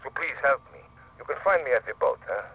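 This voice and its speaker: Courtly, animated scheming colonel. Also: male voice, mid-range voice, fast, slightly rough, exaggerated voice, poor audio. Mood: pleading. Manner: formal, florid, persuasive. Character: courtly, scheming male colonel in a crime game. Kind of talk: video game cutscene acting.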